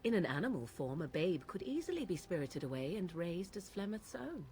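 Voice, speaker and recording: A low, haughty young witch. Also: sing-song, a little fast, background noise. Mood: measured, musing. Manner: haughty, formal, archaic phrasing. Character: aloof, cynical young witch raised in the wilds. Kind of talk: fantasy video game acting.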